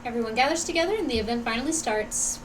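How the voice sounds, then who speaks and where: A woman's voice: a little high, lively intonation, fast talker, background noise. A woman streaming a game and reading its dialogue aloud. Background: game music.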